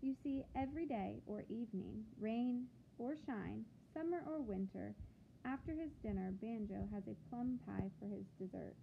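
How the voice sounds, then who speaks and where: A woman with a fairly high voice reads a picture book aloud to children, expressively and with deliberate phrasing. Her voice has a clear creak, and she pauses to put questions to her young listeners. The sound is thin and phone-like, with some background noise. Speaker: teacher reading aloud to children.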